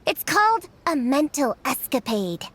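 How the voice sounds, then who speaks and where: A woman's voice: high voice, sing-song, measured pace, a little hiss or hum. A woman voicing a small, earnest, precocious child who likes to lecture, in a video game cutscene. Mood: earnest, lecturing. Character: small, earnest, know-it-all child friend. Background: background music.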